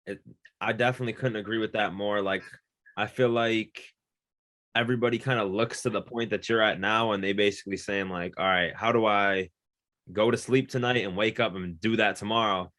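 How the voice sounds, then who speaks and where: Hyped-up low-voiced interview host. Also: male voice, steady tone, brisk, sharp tone. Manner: hype, affirming, grateful host talk. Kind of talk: casual interview chat.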